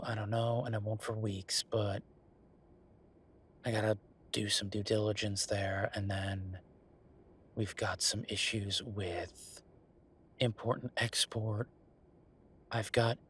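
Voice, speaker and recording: A man's voice: lowish voice, a bit bright, husky, exaggerated voice. A man playing an affectionate, teasing werewolf bureaucrat in an intimate romantic audio roleplay, speaking conversationally with murmured asides. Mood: reluctant, weary. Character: Viktor, affectionate teasing male werewolf bureaucrat, weary but playful.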